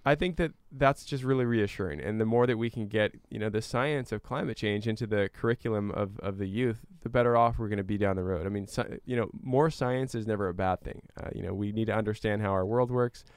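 A man's voice: lowish voice, brisk, few pauses, smooth, no echo. A man giving earnest, explanatory answers as a guest in a conversational interview. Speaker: climate educator and guest.

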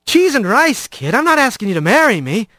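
A man's voice: high voice, sing-song, a little slow, dry recording, heavily acted. Flirty female dockworker rat, read by a man: brazen, teasing storybook character, very dry sound. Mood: exasperated. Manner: teasing, forward.

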